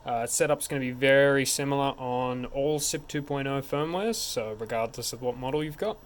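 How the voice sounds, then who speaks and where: A man's voice: mid-range voice, normal speed. A man narrating a hands-on technical tutorial in an informal, step-by-step way. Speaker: tech support instructor. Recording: clear background noise.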